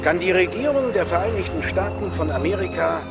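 A man's voice: sits high, expressive, brisk, over loud music. A man delivering a formal, scripted voice-over in the style of television news.

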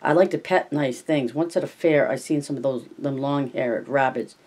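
A reader voicing a big, simple-minded, childlike man in a storybook, earnest and anxious, with naive halting speech and a rural American accent. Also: mid-range voice, a little mellow, natural voice.

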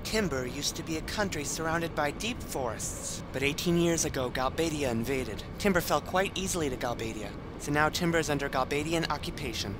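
A man voicing an excitable, cocky young fighter in an amateur game fandub, with slangy, eager delivery. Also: a little high, brisk, few pauses, music in the background, close to an everyday voice. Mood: chatty, informative.

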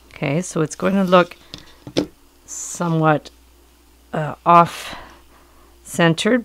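Middle-aged woman with a cold, low gravelly voice, calmly walking you through a craft, dry close sound. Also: no echo. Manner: calm, patient, explanatory. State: hoarse from a cold. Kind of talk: step-by-step craft instruction. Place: indoors at a work table.

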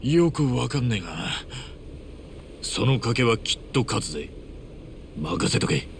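A rough, good-hearted game hero, middle-aged and blunt. His pitch moves freely and he takes his time, with noticeable ambient noise behind him. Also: sing-song, unhurried. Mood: earnest. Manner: blunt, casual rough speech. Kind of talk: game cutscene acting. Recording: clear ambient and engine noise.

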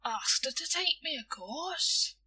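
Woman acting out a lovesick storybook shopkeeper man, flustered, melancholy, slightly high, husky. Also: expressive, takes it slowly, sharp tone, recorded from a distance, full character voice. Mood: embarrassed. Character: lovesick, fretful, melancholy male sweet-shop keeper.

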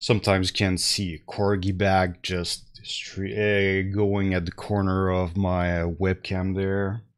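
A man's voice: low voice, level tone, slow, hardly any pauses. A man in his thirties from Canada, chatting casually on a live stream about tech deals through a headset, a little congested, with many fillers and restarts. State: slightly congested. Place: small room at home.

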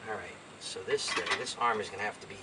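A man's voice: on the low side, fast talker. An older American man chatting casually in a folksy, rambling way as he talks to himself while doing a hands-on job. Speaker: DIY vlogger. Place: inside open cargo van beside a garage, outdoors. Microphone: camera a few feet away. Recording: distant camera mic, loud noise, clicks.

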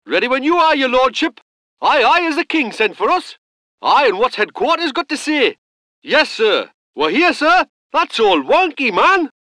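A gruff, colloquial gunner. The voice sits high and swings widely, with a bright edge. It is recorded dry and close in a studio. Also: male voice, sing-song, moderate pace, dry recording, full character voice. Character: gruff northern British male gun crewman. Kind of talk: video game unit voice lines.

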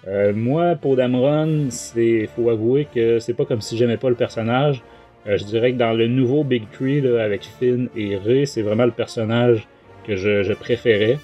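A man's voice: medium pitch, normal speed, mellow, dull audio, faint music behind. A man with a Quebec French accent hosting a casual book review podcast, talking in a hesitant way. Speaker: podcast host.

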